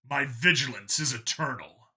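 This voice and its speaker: Man voicing a forceful, grandiose masked vigilante. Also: mid-range voice, normal speed. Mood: righteous, defiant. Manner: declamatory, grandiose. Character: stern vigilante avenger proclaiming to a city.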